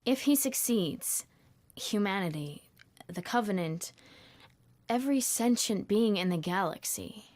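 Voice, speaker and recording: An actress playing a composed, serious naval commander in a game cutscene, briefing with crisp authority. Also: female voice, mid-range voice, lively intonation, measured pace, darkish tone, close to an everyday voice. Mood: grave briefing. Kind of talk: video game cutscene acting.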